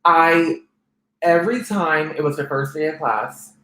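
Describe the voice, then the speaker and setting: A man in his thirties with a soft, feminine-sounding voice, telling his life story as an interview guest, with frequent restarts. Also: fairly high pitch, measured pace, roomy sound, low-quality recording. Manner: rambling, many restarts and fillers. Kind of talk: personal testimony in conversation.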